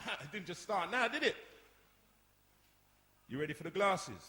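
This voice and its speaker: A man's voice: high-pitched, sing-song, unhurried, pushed and bright, heavily acted. A man playing an exasperated, outspoken young older brother in a radio drama, chiding and emphatic. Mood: needling. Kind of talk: radio soap acting before a live audience. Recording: echoey hall, long reverb.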